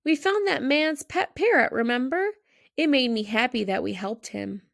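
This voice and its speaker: Woman acting earnest, curious little schoolgirl, higher-pitched. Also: normal speed, creaky. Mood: bright, remembering. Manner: earnest, expressive storybook delivery.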